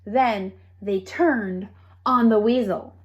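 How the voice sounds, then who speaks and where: A woman reading a children's story aloud in an expressive manner, with playful asides to young listeners. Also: medium pitch, slow, muffled recording. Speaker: storybook reader.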